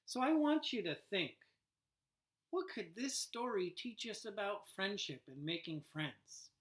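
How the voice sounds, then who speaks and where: A woman reading a picture book aloud to young children as a teacher, animated and asking the listeners questions. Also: medium pitch, measured pace. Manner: animated, acts out sounds, asks listeners questions.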